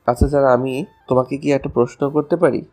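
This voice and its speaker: A man's voice: mid-range voice, fairly even intonation, a little fast, a little mellow, soft background music, close to an everyday voice. A man playing a playful, affectionate young husband in love in a romantic audio story. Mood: teasing. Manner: teasing, affectionate.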